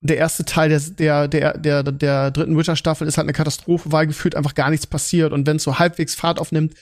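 Male podcast host, slightly high-pitched, conversational, searching for words with repetitions. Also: level tone, a little fast. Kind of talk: casual podcast chat about series and films.

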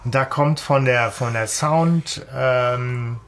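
A man's voice: mid-range voice, takes it slowly, a bit bright, a little hiss or hum. A man co-hosting a casual fan podcast, talking reflectively and searching for his words as he discusses. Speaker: podcast co-host.

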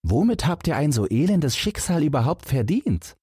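A man acts out a bold young fairy-tale hero, cocky and teasing. The voice is lifted well above the reader's own, with a husky edge and a jaunty delivery suited to a storybook. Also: on the high side, sing-song, moderate pace, warm tone, dry recording, studio quality, voice pushed up, heavily acted. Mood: nonchalant. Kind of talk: fairy-tale audiobook character voice.

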